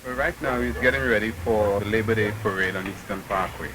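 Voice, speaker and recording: A man's voice: fairly low pitch, fairly quick. A man making costumes, talking in a proud, plainspoken way in a casual interview in a backyard. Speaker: costume maker. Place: backyard outdoors. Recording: outdoor field recording with noise.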